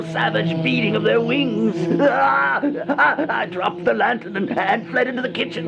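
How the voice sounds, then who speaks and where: An actor playing a terrified old man in a radio horror monologue, theatrical, with halting gasps and cries. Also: high voice, gravelly, noisy background. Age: older. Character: terrified old man recounting a deadly plague of flies. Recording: dull vintage radio recording with music.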